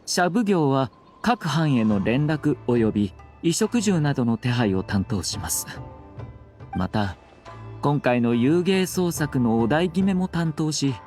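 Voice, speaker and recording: Courteous game official: mid-pitched, lively intonation, formal explanatory delivery, faint music. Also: male voice, normal speed. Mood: courteous, businesslike. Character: courteous, diligent male official. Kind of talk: Japanese video game voice acting.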